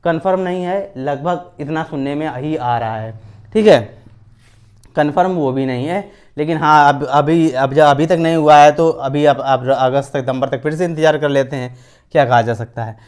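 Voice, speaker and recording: Clear, higher-pitched man explaining. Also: moderate pace, a little mellow. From India. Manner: repeats phrases for emphasis, often checks in with listeners. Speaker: host of an exam-news channel. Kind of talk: informal explainer of job recruitment updates.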